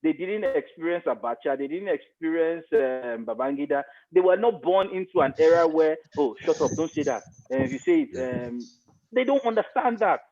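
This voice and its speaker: A man's voice: fairly high pitch, lively intonation, moderate pace, a little mellow. A middle-aged man with a Nigerian accent giving conversational commentary as an interview guest, emphatic and repeating phrases to press his point. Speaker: interview guest, media expert. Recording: phone-like thin radio-style sound.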